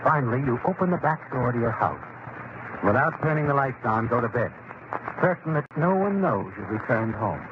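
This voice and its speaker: A man acting as an all-knowing suspense narrator in an old-time radio drama, insinuating and deliberate as he needles a guilty woman. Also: medium pitch, lively intonation, natural voice. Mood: ominous. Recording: noisy, muffled vintage radio broadcast recording.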